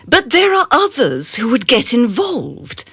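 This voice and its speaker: A woman's voice: on the high side, wildly swooping pitch, a little slow, fairly bright tone, slightly rough, dry recording, exaggerated voice. An actress playing a haughty, cold female boss in a radio drama, speaking in an imperious, condescending and theatrical way.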